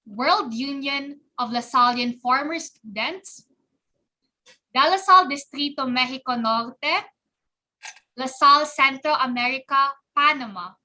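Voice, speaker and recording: A young woman from the Philippines co-hosts an online event. Her voice sits high and sounds very bright. She reads scripted thanks and long lists of names in an earnest way. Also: rough recording. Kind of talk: scripted hosting, closing thanks.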